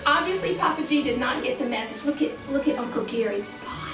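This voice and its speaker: A woman with a very high, swooping voice hosts a family story time, speaking slowly and playfully to children. She exclaims and chats casually. She sounds far from the mic in an echoey room, with loud music over everything. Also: animated.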